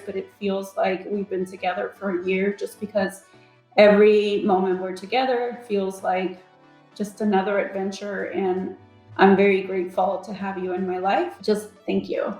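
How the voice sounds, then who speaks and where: A woman's voice: mid-range voice, little pitch movement, moderate pace, darkish tone, roomy sound, soft background music, rough recording. A woman in her thirties from Los Angeles, a competition contestant talking casually about herself, peppering her speech with "like" and "you know". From Los Angeles, USA. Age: 30s. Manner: chatty, leans on "like" and "you know". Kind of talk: casual interview talk.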